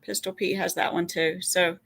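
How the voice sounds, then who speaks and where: A woman's voice: fairly low pitch, flat delivery, normal speed, vocal fry, crowd noise. A woman taking part in a live call-in, chatting casually. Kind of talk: casual chat.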